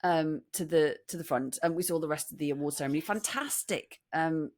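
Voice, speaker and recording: A woman's voice: sits low, a little fast, darkish tone. A British woman co-hosting a podcast, telling anecdotes casually with wry, self-deprecating humour. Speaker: podcast co-host.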